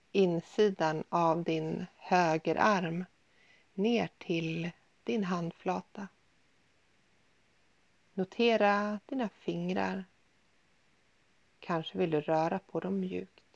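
A woman guiding a yoga nidra body scan in a soothing, gentle, unhurried manner with pauses. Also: on the low side, vocal fry, no echo, dull audio. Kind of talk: guided meditation, body scan.

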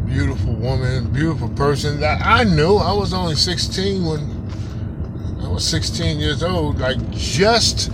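A high-voiced man in his fifties talks slowly in a rambling, reflective solo podcast, circling back to the same points. Traffic and street noise run clearly behind him outdoors. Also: lively intonation. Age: 50s. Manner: rambling, reflective, repeats himself. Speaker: podcast host. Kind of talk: casual solo podcast monologue.

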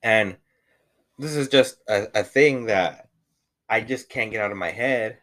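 Field: rambling low-voiced man. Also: a little slow, fairly bright tone. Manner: rambling, lots of "like" and "you know", swears. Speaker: podcast co-host. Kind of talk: casual couple chat.

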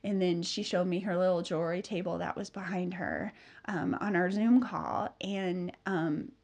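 A woman's voice: fairly low pitch, steady tone, normal speed, a little mellow. A woman in her thirties hosting a solo business podcast, chatty and upbeat with many fillers, sounding a bit nasal from a cold. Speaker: podcast host and business mentor.

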